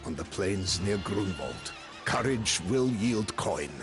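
An actor playing a grim, proud medieval Bohemian mercenary commander, narrating his story solemnly and deliberately for a video game. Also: fairly low pitch, animated. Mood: stern. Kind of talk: dramatic scripted first-person narration. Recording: clean voice-over with music behind.

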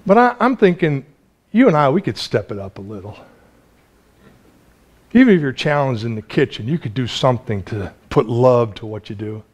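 Lively, chatty male preacher. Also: mid-range voice, moderate pace. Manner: lively, chatty preaching with laughs and repeated restarts. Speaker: pastor. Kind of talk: sermon. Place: small church sanctuary.

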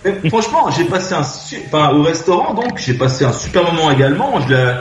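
A man's voice: a little high, sing-song, brisk, a little hiss or hum. A man from Switzerland, a radio phone-in caller, chatting casually and telling his story hesitantly as he searches for words. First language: French. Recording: muffled, echoey internet call, music behind. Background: music.

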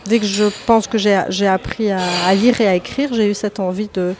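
A woman's voice: medium pitch, steady tone, a little fast, background noise. A woman in her thirties from Lebanon talks about her writing in a podcast interview. She hesitates often, restarting phrases and filling gaps with small sounds, and her voice sits in a middle register. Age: 30s. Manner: hesitant, many fillers and restarts. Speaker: author guest.

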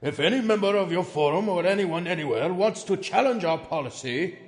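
A man's voice: sits high, expressive, brisk. A man voicing a pompous, outraged company executive in a theatrical dramatic reading of threatening emails. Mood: stern menace. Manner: pompous, overwrought, swinging from hushed to shouting. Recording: long echoing hall reverb.

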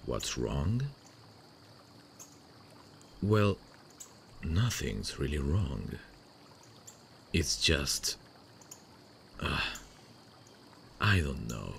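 A man playing a sweet, devoted long-distance boyfriend in an intimate ASMR roleplay, speaking tenderly and soothingly with hesitant pauses. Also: a bass voice, sing-song, creaky, a little hiss or hum, full character voice. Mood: shy, longing. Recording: faint running-water nature sounds behind. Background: stream nature sounds.

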